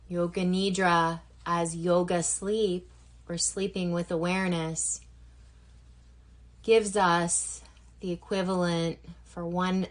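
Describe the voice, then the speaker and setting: Slow, low-voiced woman guiding meditation. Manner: gentle, unhurried, with long pauses. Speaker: yoga nidra instructor. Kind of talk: guided meditation instruction.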